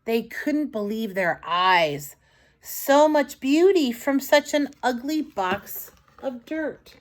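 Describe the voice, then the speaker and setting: A woman reading a story aloud to young children in an expressive, dramatic storybook manner, with asides to her listeners. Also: fairly high pitch, slow pace.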